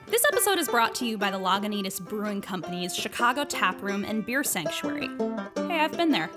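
A woman's voice: mid-range voice, very animated, fairly quick. A woman executive producer giving a scripted sponsor read. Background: plucked guitar music.

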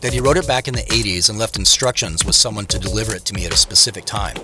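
A man's voice: lowish voice, quick pace, music in the background, close to an everyday voice. A man playing an obsessive professional puzzling over a mystery, narrating in a confiding, conversational way as if reasoning aloud. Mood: intrigued, uneasy. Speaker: narrator and lead character. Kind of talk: first-person audio drama narration, conversational.

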